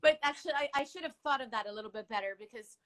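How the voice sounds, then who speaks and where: A woman's voice: a little high, rapid-fire. A middle-aged woman from Iran, a native Farsi speaker, chatting as a guest in a giggly, talkative way, often restarting words. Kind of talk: casual live-stream chat.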